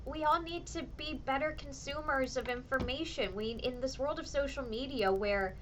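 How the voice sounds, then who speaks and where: A woman meteorologist with a slightly hoarse voice, chatting casually with viewers on her weather show. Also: a little high, a little hiss or hum. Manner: animated, ranting, chatty with viewers. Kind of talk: casual livestream chat answering viewers.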